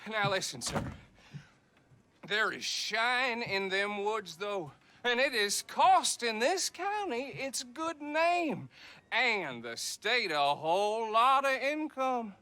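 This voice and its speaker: An actor playing a tipsy, folksy small-town sheriff with a Southern US accent and a rambling way of talking. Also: male voice, extremely high pitch, lively intonation, takes it slowly, pushed and bright, a little hiss or hum, exaggerated voice. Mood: tipsy, drawling.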